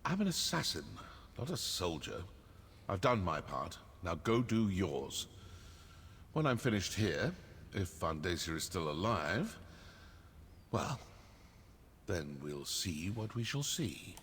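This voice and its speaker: A man voicing a vengeful, sardonic assassin in a fantasy video game, husky, measured and coolly menacing. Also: sits low, sing-song, fairly bright tone, a little hiss or hum, heavily acted. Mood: dry menace. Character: vengeful, sardonic male assassin from a dark brotherhood. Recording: long cave-like reverb.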